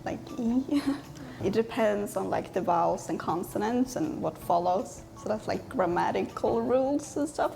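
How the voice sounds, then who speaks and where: A woman with a Swedish accent explains pronunciation patiently, her mid-pitched voice full of vocal fry. Faint music sits under her talk. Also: lively intonation, normal speed, warm tone. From Sweden. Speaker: panel participant. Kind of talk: casual explanatory chat.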